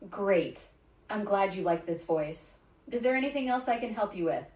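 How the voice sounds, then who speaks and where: A woman's voice: medium pitch, moderate pace. A synthetic woman's voice of a voice assistant, giving polite, scripted replies. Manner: polite, even, scripted phrasing. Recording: echoey room from a smart speaker.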